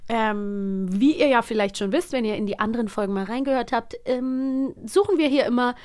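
A woman's voice: a little high, moderate pace, a little mellow, smooth. A woman co-hosting a podcast in upbeat, casual chat, often agreeing and laughing, on a studio mic. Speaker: podcast co-host.

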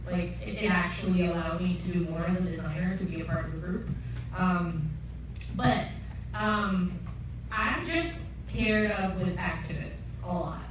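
A woman's voice: on the low side, unhurried. A young woman from Costa Rica answering as a panelist, candid and conversational with many fillers. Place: conference room panel, large hall. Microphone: table mic, recorded from the audience. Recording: echoey hall from far off, noisy.

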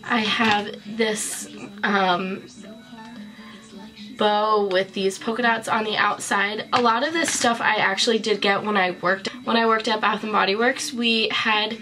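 A woman's voice: mid-range voice, steady tone, measured pace, music in the background, low-quality recording. A woman in her twenties with a cold, chatting casually to the camera in a bedroom as she shows off a collection. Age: 20s. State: sick with a cold. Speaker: vlogger. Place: small bedroom, indoors.

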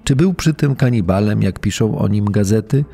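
A man playing a formal, pedantic official giving a measured statement in a dramatized crime documentary. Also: lowish voice, animated, gravelly, dark tone, soft background music. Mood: measured, emphatic. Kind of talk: dramatized reading of a historical statement.

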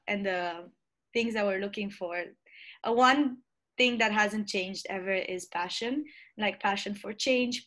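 A woman's voice: a little high, a bit bright. Young woman from Romania, hesitant. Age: young adult. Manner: thoughtful, many ums and restarts. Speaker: interview guest, student leader. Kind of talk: casual interview over video call. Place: bright room at home. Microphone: earbuds on video call.

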